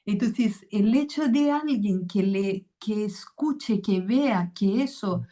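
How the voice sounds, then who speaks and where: A woman's voice: mid-range voice, expressive, slow pace, warm tone. A woman psychologist in a round-table discussion, explaining in an earnest way.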